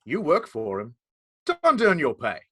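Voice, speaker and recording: An actor playing a cheeky, quick-witted outlaw in a radio play. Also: male voice, on the high side, wildly swooping pitch. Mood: needling.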